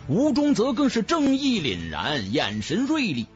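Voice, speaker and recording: Highly animated, high-pitched male audiobook narrator. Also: unhurried, highs cut off, soft background music. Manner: theatrical, emphatic delivery. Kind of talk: dramatic scripted narration of a fantasy novel.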